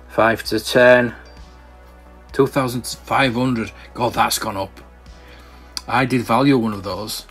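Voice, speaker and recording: A man's voice: mid-range voice, a bit bright. A man casually browsing a catalogue and commenting as a channel host, rambling and hesitant, with many ums and restarts. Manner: enthusiastic collector's remarks. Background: faint background music.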